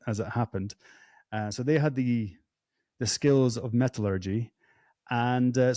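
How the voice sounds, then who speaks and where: A man's voice: medium pitch, a little mellow, no echo, studio quality. A man hosting a podcast, chatting casually and thinking aloud with many hesitations. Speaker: podcast host. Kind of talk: casual conversation.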